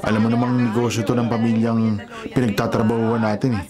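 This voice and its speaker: An actor playing a protective, teasing cousin who works as a driver in a Filipino radio drama, brotherly and matter-of-fact. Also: male voice, medium pitch, level tone, brisk, close to an everyday voice. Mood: apologetic, brisk. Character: protective, teasing male cousin, family driver showing newcomer around. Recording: loud music bed behind dialogue.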